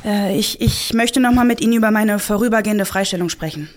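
Earnest, halting policewoman, played by an actress. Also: medium pitch, a little hiss or hum, close to an everyday voice. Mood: insistent, uneasy. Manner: halting but insistent, repeats words. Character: earnest, principled policewoman standing her ground. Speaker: police officer. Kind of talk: naturalistic audio drama dialogue.

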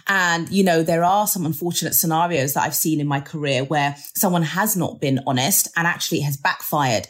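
Husky low-voiced woman adviser. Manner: measured and reassuring, with many "um"s. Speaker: legal recruitment adviser. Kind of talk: advice in an interview.

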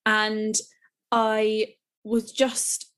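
A woman's voice: fairly high pitch, monotone, extremely slow, fairly bright tone. Chatty young woman. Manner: enthusiastic, rambling with restarts. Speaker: podcast co-host. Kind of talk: casual chat.

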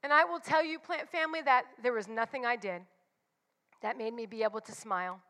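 Woman, forties, high and bright, candid church speaker, long reverb. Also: a little fast. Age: 40s. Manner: candid and confessional, emphatic repetitions. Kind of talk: personal devotional talk.

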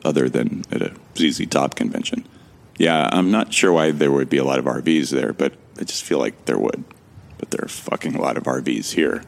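Very deep gravelly amnesiac played by a man. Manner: wry, musing. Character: bewildered amnesiac man, wryly recounting his ordeal. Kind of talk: first-person scripted narration in a sci-fi audio drama.

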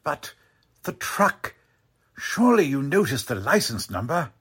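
Man playing a husky, deferential clerk. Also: a little high, sing-song, unhurried, fairly bright tone, exaggerated voice. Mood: hesitant, diplomatic. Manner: polite, hesitant, deferential. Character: deferential, earnest male warehouse clerk. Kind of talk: 1940s radio drama acting.

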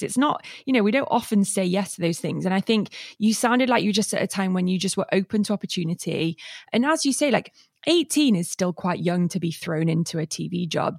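A woman's voice: mid-range voice, creaky, a little mellow, no echo, studio quality. A woman hosting a podcast interview who sums up her guest's story and encourages him. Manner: encouraging, summarizing. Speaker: podcast host. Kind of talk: casual interview.

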